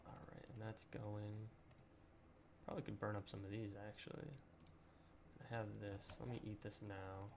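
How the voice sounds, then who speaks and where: Slightly raspy young man gaming. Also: on the low side, moderate pace, pauses often, noisy background. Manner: relaxed, rambling with many ums and throat clearing. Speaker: gaming channel host. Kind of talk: casual let's-play commentary.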